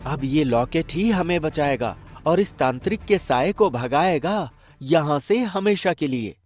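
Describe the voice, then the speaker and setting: A man voicing a devoted, brave young grandson in a cartoon story, earnest and expressive. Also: on the high side, unhurried. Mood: brave, determined. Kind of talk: Hindi cartoon story voice acting. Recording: faint music behind.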